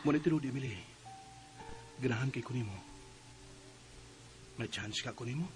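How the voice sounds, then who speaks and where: A man playing an earnest young suitor in a radio drama, soft-spoken and pleading, with a Cebuano Filipino accent. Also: medium pitch, normal speed, lightly acted. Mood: pleading, romantic. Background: music.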